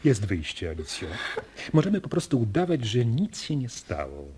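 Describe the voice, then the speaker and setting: An actor playing a grave but gentle father in a radio drama, with a husky voice. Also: mid-range voice, huge pitch swings, moderate pace, full character voice. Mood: earnest, gentle. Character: grave, gentle father guarding a family secret. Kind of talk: Polish radio drama acting.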